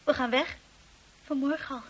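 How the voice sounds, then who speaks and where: A woman's voice: sits high, steady tone, moderate pace, slight background noise, natural voice, poor audio. An actress playing a shy, earnest young lady's companion in a Dutch radio drama, hesitant and emotional in delivery. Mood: downcast.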